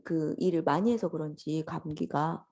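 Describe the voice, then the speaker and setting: A woman lecturer speaks in a slightly low, mellow voice that is hoarse from a lingering cold. She explains step by step in a conversational, instructional way, addressing her students politely and directly. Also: steady tone, moderate pace. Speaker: lecturer teaching an office software course.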